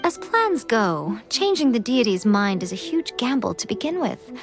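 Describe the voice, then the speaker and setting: Sly, high-voiced shrine priestess. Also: female voice, very animated. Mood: sly, breezy. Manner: measured, knowing, theatrical. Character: sly, composed shrine priestess, teasing and calculating. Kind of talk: video game voice acting. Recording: game music clearly behind.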